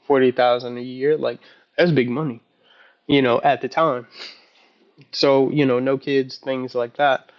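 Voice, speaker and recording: Man, early 30s, casual vlog journal, halting and rambling, little pitch movement, slightly dull lo-fi recording. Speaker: vlogger. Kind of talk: casual, personal video journal.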